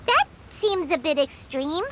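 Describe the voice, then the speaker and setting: A woman voicing a tiny, nosy fairy companion in a video game, with a squeaky childlike voice and an exclamatory, inquisitive manner. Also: lively intonation, unhurried, slight background noise, heavily acted. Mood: puzzled. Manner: speaks of self in third person, exclamatory, inquisitive. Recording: loud music behind the voice. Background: game music.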